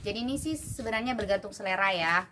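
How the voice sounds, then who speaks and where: A young woman from Indonesia, reviewing food in a relaxed, chatty way, with many fillers and tasting hums. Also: medium pitch, fast talker. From Java, Indonesia. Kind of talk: casual food review vlog. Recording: noisy home recording. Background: clear background noise and clicks.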